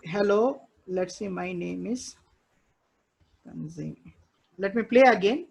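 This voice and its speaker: High-voiced Indian English male instructor. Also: lively intonation, slow pace, gravelly, darkish tone, slightly rough. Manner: checks in often with "okay" and "understood?", repeats phrases. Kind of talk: live technical class walkthrough.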